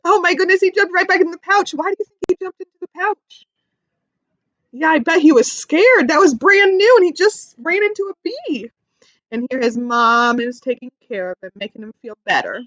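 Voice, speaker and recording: Woman, teacher reading aloud to kids, high-pitched, questioning, simple explanations, dry dull recording. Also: moderate pace, no room sound. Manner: animated, questioning, explains simply, exclaims in sympathy. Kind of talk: interactive read-aloud lesson for young children.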